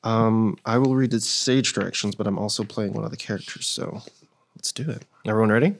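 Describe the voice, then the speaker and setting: A man's voice: fairly low pitch, fairly even intonation, a little fast, creaky. A man talking casually and easily as a comedy guest, also reading stage directions. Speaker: guest sketch writer and comedian.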